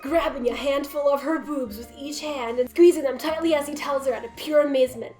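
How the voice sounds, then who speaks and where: A woman's voice: sits high, brisk, darkish tone. A woman narrating a comic story as a dramatic reading. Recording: roomy echo, music behind.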